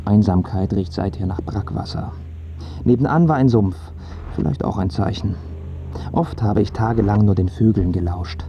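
An actor in a radio play playing a self-absorbed, precocious, stubborn boy who tells his own life earnestly and deliberately. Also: on the low side, mellow, highs cut off, a little hiss or hum, lightly acted. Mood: reflective, confiding. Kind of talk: literary radio play monologue and dialogue.

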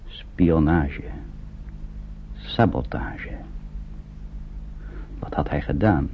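Very deep radio drama narrator, male. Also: expressive, very slow, creaky, slight background noise. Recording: muffled narrow-band old radio recording.

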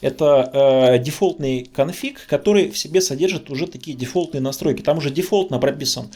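Guy with a slightly high voice explaining tech stuff on a podcast, really into it. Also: a little fast, mellow. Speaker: podcast speaker on networking.

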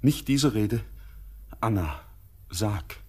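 An actor playing a haunted, yearning young stranger in a classic radio drama, declaiming poetic in a solemn, intense, husky voice. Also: male voice, fairly low pitch, lively intonation, extremely slow, darkish tone, heavily acted. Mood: urgent, pleading.

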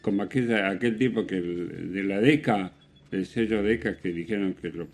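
A man with an Argentine Spanish accent hosting a radio show, commenting casually on music with opinions in a rambling, halting way. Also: low-pitched. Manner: rambling, hesitant with self-corrections and rhetorical questions. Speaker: radio host.

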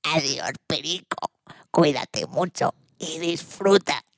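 Woman playing an affectionate, slow, creaky-voiced monkey. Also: sits low, dry recording, clean studio sound. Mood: tender farewell. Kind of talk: children's story dramatization.

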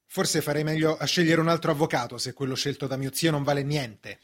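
An actor playing a stubborn, indignant young official accused in a radio drama, earnest and strained. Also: male voice, on the high side, flat delivery, fairly quick, fairly bright tone. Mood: defiant. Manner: defiant, earnest. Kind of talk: radio stage drama acting.

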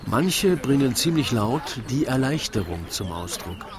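A man narrating a scripted rhyming story in a theatrical, deliberate storytelling manner. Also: medium pitch, sing-song, a crowd in the background.

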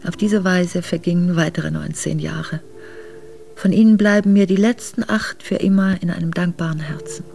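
An actress playing an exiled Russian princess, an ageing mother recalling hard years tenderly in a German radio drama, measured and reflective. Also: on the low side, steady tone, vocal fry, warm tone, soft background music. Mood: sober, reflective. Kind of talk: German radio drama monologue, memoir narration.

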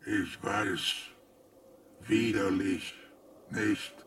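A man playing a gloomy, bitter robot in a radio drama, speaking in a terse, deadpan, contemptuous way. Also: low voice, extremely slow, a little hiss or hum, full character voice. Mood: weary contempt. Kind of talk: German radio drama acting.

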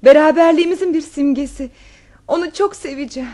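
A woman's voice: sits high, fast, dark tone, lightly acted. A woman acting in radio theatre as a passionate, hopeful countess, emotive and affectionate with her lover. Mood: tender, hopeful.

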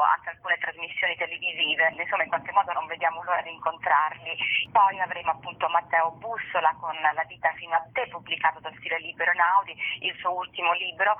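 Woman on a tinny phone line, halting. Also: fairly low pitch, breathy edge. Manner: halting, searching for words. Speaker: festival organiser interviewed as guest. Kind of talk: radio interview, presenting a programme. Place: phone call into a radio show.

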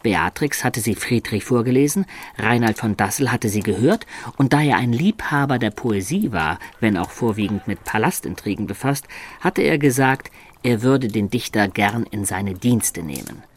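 A man's voice: mid-range voice, expressive, moderate pace, husky. An actor playing a cheerful, sly, self-satisfied medieval liar who tells his tale in a playful, theatrical way for a German radio play. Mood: wry narrating.

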